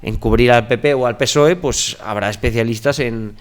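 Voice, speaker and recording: A man's voice: medium pitch, moderate pace. Earnest man on a panel. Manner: earnest, many restarts and repeated words. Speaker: journalist panelist. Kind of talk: panel discussion. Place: lecture hall.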